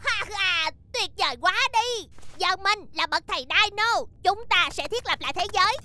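High-pitched excitable cartoon boy. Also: moderate pace, heavily acted. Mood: excited. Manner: exclamatory, eager, full of gasps. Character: excitable, carefree, optimistic young boy hero. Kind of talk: animated children's cartoon dub. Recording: loud music behind the voice.

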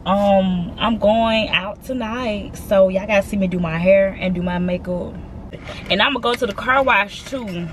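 A woman of twenty-one talking casually and excitedly to her camera from inside a car, swearing freely. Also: mid-range voice, measured pace, a little hiss or hum. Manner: chatty, profane, excitable. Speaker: vlogger. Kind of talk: casual vlog chat to camera. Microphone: handheld camera at arm's length.

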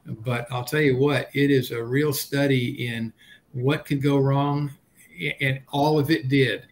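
An older man chatting as a panelist on a video call, halting and repeating the starts of his words. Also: fairly even intonation. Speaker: panelist and experienced pilot. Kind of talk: casual panel chat. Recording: noisy video call with low rumble.

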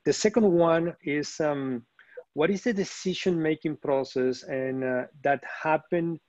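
A man's voice: fairly high pitch, measured pace, darkish tone, no echo. Hesitant man from Venezuela on a call. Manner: hesitant, many fillers and restarts. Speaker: panelist, operations executive. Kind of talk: webinar panel answer. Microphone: video call. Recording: muffled video call audio.

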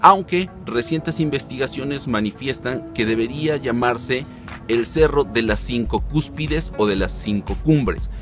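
Middle-aged male travel host, measured narration, mid pitch, music bed. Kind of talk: travel show presenting.

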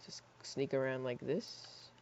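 A hoarse young man in his teens rambling casually while playing a building game, with lots of fillers and soft mutters. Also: mid-range voice, fairly even intonation, slow, whispered. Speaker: gaming YouTuber. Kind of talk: casual gameplay commentary. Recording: slightly dull lo-fi mic.

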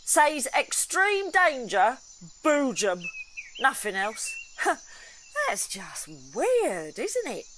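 An actress playing a wry, uneasy sidekick in an audio drama. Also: female voice, high-pitched, huge pitch swings, extremely slow, a bit bright, slight background noise, heavily acted. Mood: puzzled, uneasy. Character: wry, uneasy female sidekick in a shady job.

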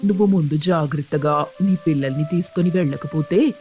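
Man voicing a cautious crow uncle, dark-toned. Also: a little high, a little fast, close to an everyday voice. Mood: worried, exasperated. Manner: earnest, urging. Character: cautious, caring crow uncle warning the forest birds.

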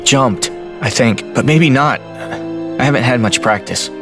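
A man's voice: medium pitch, a little slow, over music, close to an everyday voice. An actor playing an earnest, plain-spoken young farm boy in an audio drama, speaking in terse lines. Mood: guarded, subdued.

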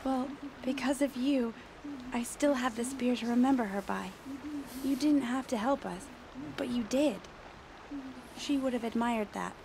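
High, creaky, grateful young huntress. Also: female voice, a little slow, noisy background. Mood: grateful, tender. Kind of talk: video game dialogue acting.

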